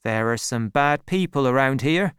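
A reader voicing an earnest, worried farmer, a lovesick man who speaks in a measured, pleading way. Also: mid-range voice, animated, a bit bright, smooth, no echo, studio quality, lightly acted. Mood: worried, sad.

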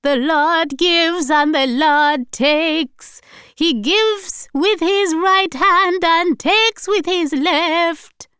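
A woman's voice: a little high, lively intonation, slow, clean tone, no room sound, studio quality, voice pushed up. A woman voicing a devout, upset grandmother of eighty-five with a solemn, preachy, emphatic delivery. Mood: singing piously. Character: devout, upset 85-year-old grandmother, warning dramatically.

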